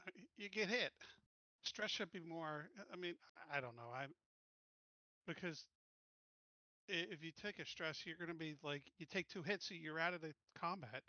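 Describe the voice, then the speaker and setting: Bright kinda high-pitched guy playing tabletop rpg, casual table talk, dull dry mic. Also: moderate pace, dry recording. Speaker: player in a tabletop roleplaying game.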